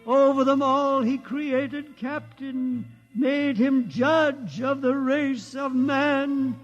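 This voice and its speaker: A venerable old witness who guards his ship's legend. He intones each word slowly and solemnly, in a high voice. The feel is that of a 1950s radio drama. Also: steady tone, lo-fi sound, soft background music, exaggerated voice. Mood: solemn chanting. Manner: slow, intoning recitation. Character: aged male keeper of sacred ship legend, solemn.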